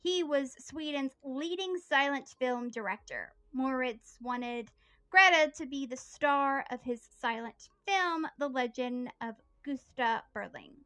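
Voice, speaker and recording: Bright, high-voiced woman narrating history. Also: unhurried, smooth. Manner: earnest, reads prepared text in a steady storytelling way. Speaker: history podcast host.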